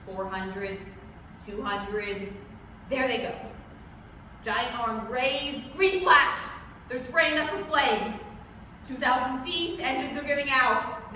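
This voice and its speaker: A woman's voice: on the high side, sharp tone. A woman playing a tense, determined military pilot in a 1930s radio drama reading, with terse clipped delivery, in a noisy reverberant hall far from the microphone. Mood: desperate urgency. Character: military bomber commander radioing battle reports, tense, determined. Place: public library staged reading before audience.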